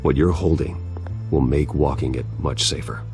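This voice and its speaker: A man's voice: extremely low pitch, fairly even intonation, moderate pace, darkish tone, soft background music. A man playing a terse, determined billionaire vigilante in a dramatic video game, with stern, resolute delivery. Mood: calm, guarded.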